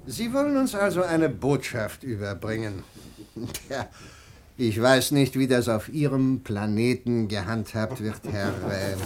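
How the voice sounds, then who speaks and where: Actor as a pompous minister, slightly noisy recording. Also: male voice, mid-range voice, natural voice. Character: dismissive, patronising male government minister. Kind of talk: German science-fiction radio play acting.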